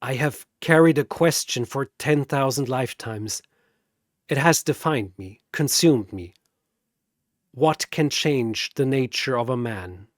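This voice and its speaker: A man voicing a weary, scarred immortal in a fantasy battle story, solemn and quiet, brooding over an endless search. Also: medium pitch, measured pace, dry recording, clean studio sound, natural voice. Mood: solemn, haunted.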